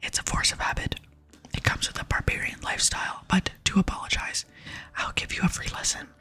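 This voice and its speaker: A woman's voice: a little fast, bright, forceful tone, faint music behind, lightly acted. A woman whispering the game dialogue of a tough, teasing, motivational barbarian trainer in ASMR style. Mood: teasing, friendly. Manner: blunt, coaching, whispered.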